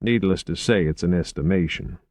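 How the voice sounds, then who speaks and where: A man voicing an urbane, unhurried investigator in an audiobook, genteel and measured with dry wit. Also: sits low, darkish tone, no room sound, lightly acted. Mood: matter-of-fact. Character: urbane, unhurried, drily witty male investigator. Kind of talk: audiobook character acting.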